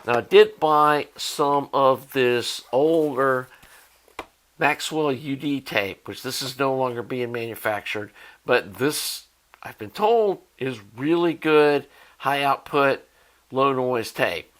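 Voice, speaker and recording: A man's voice: mid-range voice, takes it slowly, a bit bright, a little hiss or hum. An American man talking informally as a hobbyist video host, explaining gear in a rambling, think-aloud way. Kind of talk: casual explanatory product talk.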